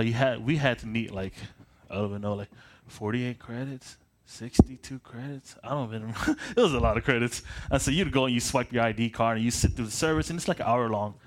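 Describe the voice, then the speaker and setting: A man's voice: medium pitch, slight background noise. A man in his late twenties from Michigan, leading a prayer and then introducing himself as a preacher in a casual, self-deprecating way, often restarting his phrases and chuckling as he paces around. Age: late 20s. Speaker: pastor.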